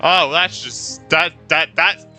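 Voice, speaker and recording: A young man streaming a game with casual reaction commentary, halting and often repeating his words and phrases. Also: fairly high pitch, pushed and bright, soft background music. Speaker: gaming streamer.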